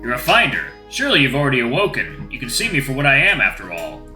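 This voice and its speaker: A performer voicing a huge, friendly male wolf giant who talks in a calm, soothing, lightly teasing way. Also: mid-range voice, quick pace, echoey room, faint music behind. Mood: reassuring.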